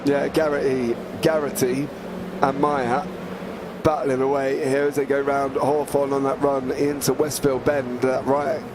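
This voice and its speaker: A man, a sim racing commentator, hoarse and croaky from a recent flu, chatting and calling live race action with excited play-by-play. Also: medium pitch, measured pace, background noise.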